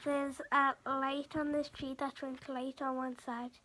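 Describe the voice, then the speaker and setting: Sly storybook creature, falsely sweet and coaxing played by a woman, high voice, unhurried. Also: level tone, sharp tone, slight background noise.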